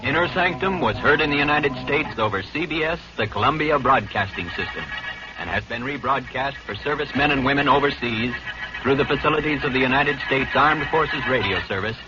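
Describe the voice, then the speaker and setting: A man's voice: medium pitch, brisk, loud music behind the voice. A man giving a formal 1940s radio announcement. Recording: dull, very noisy old radio recording.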